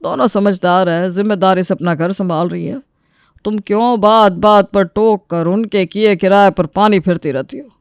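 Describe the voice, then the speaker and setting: A man's voice: sits high, normal speed, clean tone, dry recording, clean studio sound. A man playing an elderly, reasonable but exasperated father-in-law who admonishes and pleads for peace in a family story.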